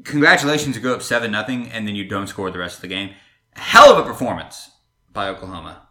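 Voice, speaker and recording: A man's voice: lowish voice, expressive, fast, bright, forceful tone. A man hosting a sports podcast, chatting casually and teasing his co-host.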